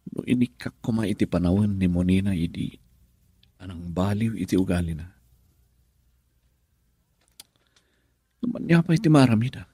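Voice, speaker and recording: A troubled husband and dutiful son-in-law in a Filipino radio drama. His voice is lowish and husky, earnest and brooding, with a dry studio closeness. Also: dry recording. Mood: regretful.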